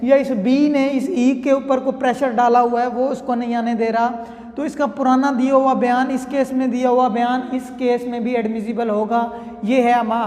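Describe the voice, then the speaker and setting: A woman's voice: on the high side, dark tone. A woman with a Pakistani accent teaching law, explaining with examples in a conversational way and often checking that the listener follows. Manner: conversational, often checking understanding with tag phrases. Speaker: law lecturer. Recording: echoey room with long reverb.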